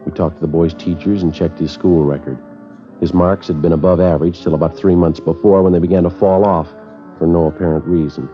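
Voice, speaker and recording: Man playing a terse, very deep police sergeant. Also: a little fast, lightly acted. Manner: clipped, flat, matter-of-fact. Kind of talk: 1950s radio drama narration. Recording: dull old radio broadcast with music.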